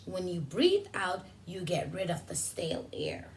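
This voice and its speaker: Woman, preschool teacher, story-time read-aloud, very lively pitch, unhurried pace, speaks directly to little kids. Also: slight background noise, rough recording. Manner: addresses young children directly with questions and simple asides. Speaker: preschool teacher reading a picture book aloud.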